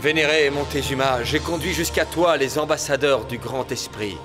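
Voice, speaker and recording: A man's voice: mid-range voice, moderate pace, music in the background, natural voice. A man voicing a humble, deferential guide in a cartoon dub, speaking formally and reverently. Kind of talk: French cartoon dubbing.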